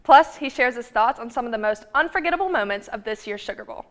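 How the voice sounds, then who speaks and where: A woman's voice: a little high, lively intonation, quick pace. A young woman working as a student reporter, introducing a segment and interviewing with a friendly, encouraging manner. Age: young adult.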